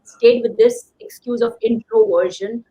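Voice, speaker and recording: A woman's voice: on the high side, steady tone, a little slow, dark tone. A woman giving emphatic, conversational motivational advice as a coach being interviewed. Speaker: career coach, interview guest. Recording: slightly dull lo-fi call audio.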